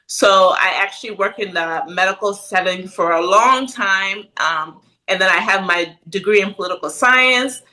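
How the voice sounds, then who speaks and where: Middle-aged woman from Ghana, podcast guest, animated and conversational, with a bright tone. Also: mid-range voice, moderate pace, few pauses, low-quality recording. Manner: animated, frequent "right?" tag questions. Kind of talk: casual interview chat.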